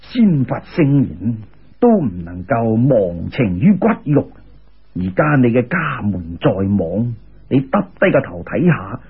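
Storybook immortal guide, acted by a man: low, very raspy, calm and commanding with quiet amusement, on a thin narrow-band recording. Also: slow, full character voice. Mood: solemn.

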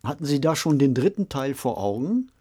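A man's voice: mid-range voice, very animated, brisk, mellow, breathy edge. A man hosting a conversational interview, putting questions and comments to a guest. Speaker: interviewer, podcast host.

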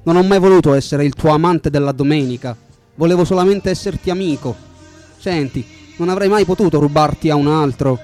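A man's voice: on the high side, fairly quick, darkish tone. A man reciting translated song lyrics as spoken word. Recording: voice over music bed. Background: music.

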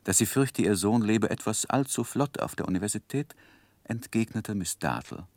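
An actor narrating a 1960s German radio play as a man looking back, in a measured, reflective literary style. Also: fairly low pitch, lively intonation, a little mellow, dry recording, natural voice. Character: David Copperfield as reflective adult narrator.

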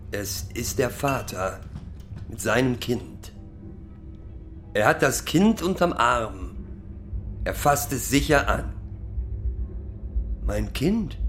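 An actor playing a rambling, proudly sentimental middle-aged pub drinker who lectures and recites poetry in a halting, self-correcting way. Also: male voice, mid-range voice. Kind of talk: theatrical monologue with halting recitation.

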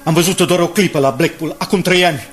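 A man's voice: fairly high pitch, lightly acted. A man playing a shrewd, self-assured and suspicious newspaper reporter in a radio drama, with a theatrical, insistent delivery. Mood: urgent, resolved. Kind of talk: 1980s Romanian radio drama acting. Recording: music behind the dialogue.

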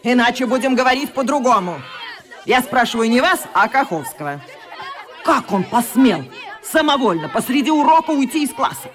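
A woman's voice: a little high, animated, normal speed, some chatter behind, close to an everyday voice. A woman playing a strict, indignant head teacher scolding a class in a radio play. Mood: indignant, commanding. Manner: imperious, scolding.

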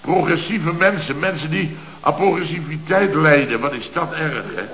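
Blustering male contractor boss, played by an actor, exclamatory ranting, highish pitch, dull vintage radio sound. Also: moderate pace, fairly bright tone, slight background noise, natural voice. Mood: indignant. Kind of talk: radio comedy serial acting. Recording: dull, echoey old radio recording.

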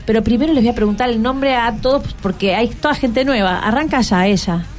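A woman's voice: fairly high pitch, brisk, soft background music. A woman with an Argentine accent hosting a casual radio interview, asking simple questions in a studio. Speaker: radio host.

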